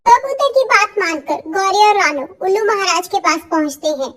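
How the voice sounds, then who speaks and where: A woman's voice: very high voice, expressive, normal speed, a bit bright. A woman narrator reading a scripted children's story.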